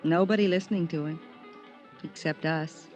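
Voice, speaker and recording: A woman's voice: deep voice, steady tone, moderate pace, darkish tone, close to an everyday voice. An actress playing a bored, chatty young woman on vacation in a 1950s radio drama, casual and world-weary. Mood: bored, wistful. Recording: dull, muffled vintage radio recording. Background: guitar music.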